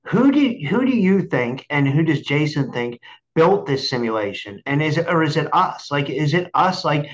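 A man hosting a casual interview over a video call, excitable and rambling, often repeating himself, with dull lo-fi audio. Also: on the high side. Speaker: interview host. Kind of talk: casual chat.

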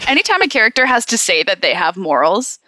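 A woman hosting a story-reading show, switching between expressive narration and slangy, sarcastic casual asides. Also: mid-range voice, fast talker, no room sound. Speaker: podcast host reading horror stories aloud. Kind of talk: story read-aloud mixed with casual banter.

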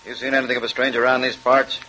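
An actor playing a dogged country sheriff, plain and firm, in a 1940s radio crime drama. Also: male voice, mid-range voice, level tone, very fast, sharp tone, lightly acted. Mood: determined, suspicious. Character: dogged male country sheriff leading a manhunt. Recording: faintly noisy 1940s radio recording.

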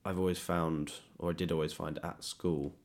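A young man with a British accent hosting a casual interview, speaking in a tentative, halting way. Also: low-pitched, flat delivery. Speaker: podcast host. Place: in a home.

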